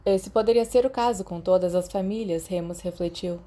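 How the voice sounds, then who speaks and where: A woman's voice: on the low side, fairly quick, dark tone. A woman with a Brazilian accent reading scripted fiction as an audiobook narrator.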